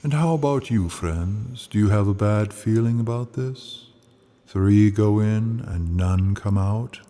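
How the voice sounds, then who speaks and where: A man's voice: fairly low pitch, slow, warm tone. A man playing a sly, ominous narrator of an old-time radio mystery, teasing the listener theatrically. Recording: music under the voice. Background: spooky music.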